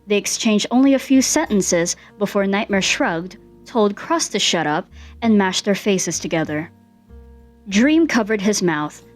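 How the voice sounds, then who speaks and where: A woman reading fan fiction as an expressive scripted story narrator. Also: medium pitch.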